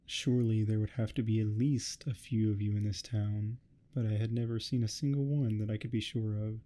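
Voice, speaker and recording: A man's voice: lowish voice, fairly even intonation, fairly quick, warm tone, natural voice. A man playing an obsessive, menacing neighbor who longs to be a vampire, in a theatrical ASMR roleplay monologue. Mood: wounded. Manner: theatrical, grandiose monologuing, smugly threatening.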